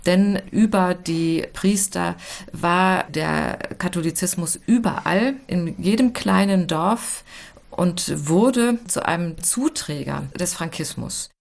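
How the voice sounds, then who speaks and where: A woman's voice: on the low side, slow pace, gravelly. A woman explaining her subject as an academic interviewee. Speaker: history professor, interviewee.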